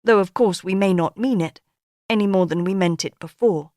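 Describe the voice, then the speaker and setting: A woman voicing a poised, sharp-witted woman of forty in an audiobook, speaking in a dry, measured, ironic way. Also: medium pitch, no room sound, lightly acted. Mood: wry.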